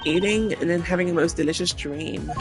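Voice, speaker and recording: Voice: medium pitch, a little fast, lightly acted, rough recording. A performer voicing a ditzy, sleepy, evasive young female flight attendant in a mystery game, airy and casual with feigned innocence. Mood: drowsy, carefree. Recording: game music behind the voice.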